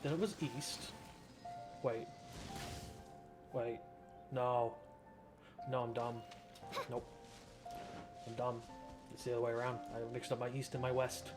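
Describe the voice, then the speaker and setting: A male gaming streamer talks through his playthrough in a mid-pitched voice. He rambles casually and pokes fun at himself, with game music clearly audible behind him. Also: level tone, measured pace, pauses often. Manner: self-deprecating, rambling.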